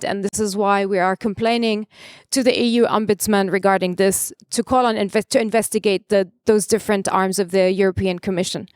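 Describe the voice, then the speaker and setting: A woman's voice: mid-range voice, smooth, no room sound, clean studio sound. A woman from Palestine, speaking as a panelist and arguing her points with passion and frequent restarts. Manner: impassioned, argumentative, with frequent restarts. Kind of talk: conference panel answer. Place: conference stage.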